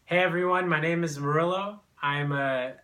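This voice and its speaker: A man's voice: fairly high pitch, normal speed, sharp tone. Hesitant young man. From Brazil. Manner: hesitant, many "uh" fillers and restarts. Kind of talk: personal testimony, unscripted.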